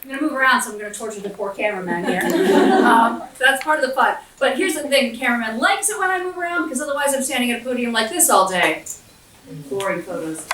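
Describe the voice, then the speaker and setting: Loud american woman, high voice, pacing around a big room, kinda far from the mic and echoey. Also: slight background noise. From Southwestern West Virginia, USA. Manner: loud, projecting, walks around while speaking. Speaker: guest speaker and center director. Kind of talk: lively motivational talk to an audience. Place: large indoor event room.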